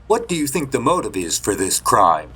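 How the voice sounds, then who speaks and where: A man playing a brisk, impatient TV news reporter in a sci-fi audio drama. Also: medium pitch, big pitch swings, bright, forceful tone, breathy edge, heavily acted. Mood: skeptical.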